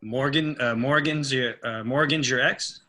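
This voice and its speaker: Man playing a bright-voiced, steady young cop. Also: mid-range voice, unhurried. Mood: questioning. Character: kind, steady young police officer, a friend's brother. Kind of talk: table read of a film script.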